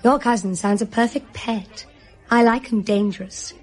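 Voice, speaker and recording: Casting: bright young society woman, thrill-seeking and flippant, upper-class English, highish voice, radio play with music under. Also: moderate pace, husky. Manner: gushing, languid society slang. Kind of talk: British radio drama acting.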